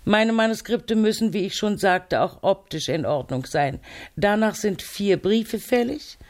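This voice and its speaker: A woman's voice: medium pitch, animated, measured pace, close to an everyday voice. A woman playing a spiteful, domineering novelist in her fifties in a radio play, speaking with condescending sarcasm and mocking familiarity. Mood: cutting, bossy. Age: 50s.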